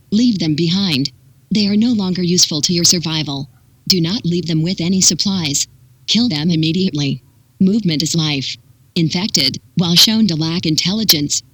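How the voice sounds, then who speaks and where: A synthetic text-to-speech voice reading a scripted public warning in an impersonal, measured manner with clipped instructions. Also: mid-range voice, a bit bright, no echo. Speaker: emergency broadcast announcer.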